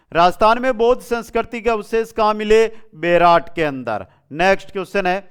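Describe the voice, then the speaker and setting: A man's voice: high-pitched, clear, resonant tone. A man teaching an online exam-prep class in question-and-answer form, repeating questions and answers and addressing his students directly. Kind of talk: question-and-answer quiz lesson.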